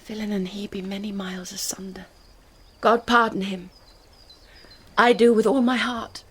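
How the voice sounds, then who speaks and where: An actress playing a passionate, grief-stricken young noble bride in a classical Shakespearean radio drama, with earnest poetic delivery. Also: female voice, medium pitch, flat delivery, moderate pace, slightly rough, natural voice. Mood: guarded grief.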